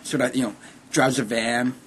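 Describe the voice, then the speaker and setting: A man's voice: medium pitch, fairly even intonation, moderate pace, a bit bright, a little hiss or hum. A twenty-three-year-old man giving blunt, wry opinions in a casual interview. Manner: blunt and wry, piling up details.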